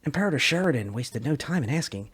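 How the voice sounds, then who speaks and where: A man's voice: medium pitch, animated, fast, fairly bright tone, husky. A man giving a dramatic scripted narration of science fiction lore with theatrical, emphatic phrasing. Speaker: narrator, lore video creator.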